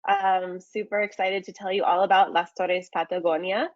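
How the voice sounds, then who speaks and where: A woman's voice: fairly low pitch, steady tone, moderate pace, no echo, muffled recording. A woman from the United States presenting a travel webinar in a friendly way, with many ums and uhs. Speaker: market manager presenting a webinar.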